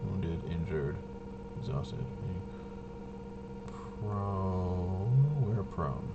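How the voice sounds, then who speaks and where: Slow-talking man with a lowish voice, muttering. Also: muffled recording, heavy background noise. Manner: halting, muttering to himself as he works things out. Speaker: tabletop RPG player and presenter. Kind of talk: casual solo gameplay commentary, thinking aloud.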